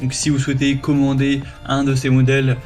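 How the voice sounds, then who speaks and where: A man talks through a product review in an informal, explanatory manner. His medium-pitched voice moves little in tone. Music plays behind his narration. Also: completely flat delivery, brisk, darkish tone.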